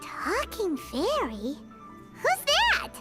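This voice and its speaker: A woman voicing a tiny, excitable, curious talking fairy girl in a video game, chirpy and childlike. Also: very high voice, extremely slow, loud music behind the voice, exaggerated voice. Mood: curious, puzzled. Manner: chirpy, speaks of self in third person. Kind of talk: video game character acting.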